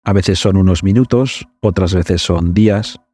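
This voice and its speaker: A man narrating a script in a measured, explanatory, documentary-like way. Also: sits low, dark tone.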